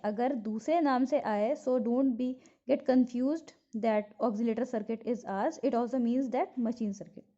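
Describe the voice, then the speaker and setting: Female teacher, Indian accent, slow and soft-toned, explanatory study-notes delivery, muffled low-fidelity sound. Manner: explanatory, repeats key points for memorizing. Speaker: physiotherapy teacher. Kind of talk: instructional lecture from study notes. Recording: dull lo-fi recording.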